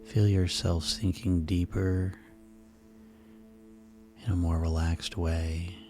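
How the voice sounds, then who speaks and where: A man guiding a sleep hypnosis session in a slow, soothing, repetitive way. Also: sits low, flat delivery. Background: music.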